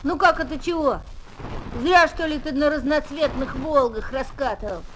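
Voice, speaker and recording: A piping, very high schoolboy speaks with spirited, childlike expression, as in a 1970s Soviet children's radio play. The old disc adds faint noise. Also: female voice, normal speed, a bit bright. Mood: teasing. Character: spirited young schoolboy, narrating friend of Tolka. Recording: noisy old 1970s flexi-disc record.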